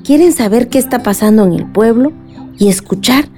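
A woman's voice: on the high side, animated, normal speed, mellow, faint music behind. A woman with a Mexican accent playing a caring, determined village woman in a radio drama.

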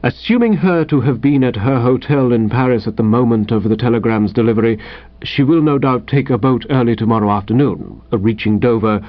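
A man voicing an unflappable English valet, measured, deferential and precise, in a comic storybook reading. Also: mid-range voice, no echo, lo-fi sound, natural voice. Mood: calm, deferential.

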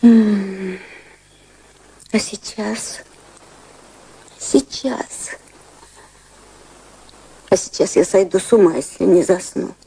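An actress playing an anguished, proud high-society lady in a radio drama, with a dramatic, troubled manner. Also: very slow, vocal fry, pauses often, warm tone, husky, heavily acted, rough recording.

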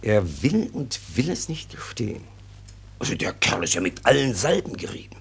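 An actor playing a self-important, sly police sergeant in a German radio play, officious and wheedling, with a husky, gravelly voice. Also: male voice, mid-range voice, sing-song, moderate pace, full character voice. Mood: smug, muttering.

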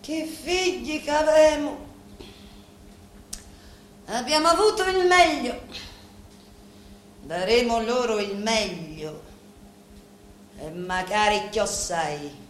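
A proud, darkly tender Sicilian woman of a crime clan, played by an actress, speaks a stage monologue in a fairly high voice. Her phrases are deliberate and broken by dramatic pauses. The room is echoey, with faint noise behind her. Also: lively intonation. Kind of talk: theatrical monologue in Italian mixed with Sicilian dialect.

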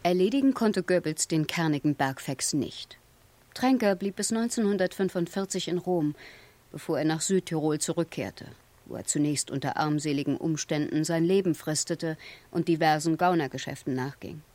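A woman's voice: sits low, moderate pace, a little mellow, dry recording. A woman giving scripted narration for a radio play.

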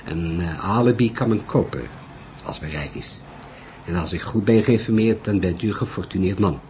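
A man voicing a calm, wily old police detective in an audiobook, hesitant, measured and probing. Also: low-pitched, slight background noise, lightly acted.

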